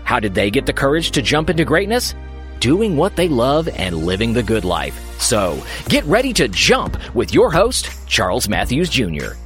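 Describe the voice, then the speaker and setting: Male promo voice-over, persuasive and direct, very dynamic pitch, music bed. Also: huge pitch swings, normal speed, a bit bright. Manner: punchy, persuasive, addresses the listener directly. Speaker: show announcer.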